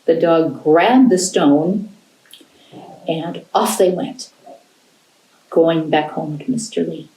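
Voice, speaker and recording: An American woman telling a folktale to children in an animated storyteller's style. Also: low-pitched, slow, long pauses. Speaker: library storyteller. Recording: roomy, echoing indoor sound.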